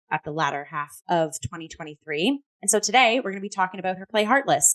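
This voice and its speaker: A woman's voice: lowish voice, a bit bright, low-quality recording. A woman hosting a conversational arts review show in a chatty, upbeat and effusive way.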